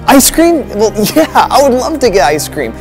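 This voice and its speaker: An actor playing a goofy, earnest older brother in a comic skit, with an American accent. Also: male voice, on the high side, very animated, moderate pace, over music. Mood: glad. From Wisconsin, United States.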